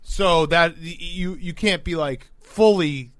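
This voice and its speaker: A male podcast host with a fairly high voice leads a casual sports chat. He moderates informally and keeps the discussion moving, recorded very dry. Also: slow pace, a bit bright, no echo.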